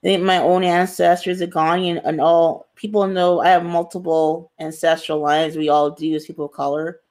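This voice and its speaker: A woman's voice: lowish voice, level tone, moderate pace, a little mellow. A woman author answering interview questions in an earnest, emphatic way, repeating phrases for stress. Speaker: author, guest.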